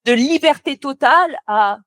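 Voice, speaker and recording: A woman's voice: sits high, animated, moderate pace, a bit bright, no echo, studio quality. A young French woman, a motion designer, chatting as a podcast guest, talking freely with lots of fillers and restarts. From France. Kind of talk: casual podcast conversation.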